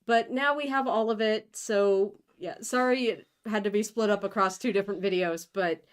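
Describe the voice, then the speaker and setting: A woman's voice: medium pitch, normal speed, clean tone. A woman co-hosting a livestream, talking and reading aloud in a playful, informal way. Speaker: co-host of a book-reading livestream. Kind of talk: casual livestream chat and read-aloud.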